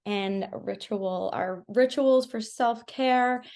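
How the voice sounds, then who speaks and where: A woman's voice: on the high side, takes it slowly, a little mellow. A woman hosting a chat show, talking casually and enthusiastically with her guest. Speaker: interview show host.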